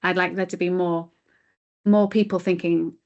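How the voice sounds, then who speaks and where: A woman's voice: on the low side, little pitch movement, moderate pace, darkish tone, lo-fi sound. A British woman giving earnest, explanatory answers as the guest in a conversational interview. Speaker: interview guest, chief executive of a mindfulness charity.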